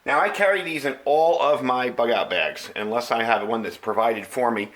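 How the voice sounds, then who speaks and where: A man's voice: medium pitch, moderate pace, a bit bright, rough recording. A middle-aged man with an American accent giving a casual, conversational product review, explaining things as he demonstrates them.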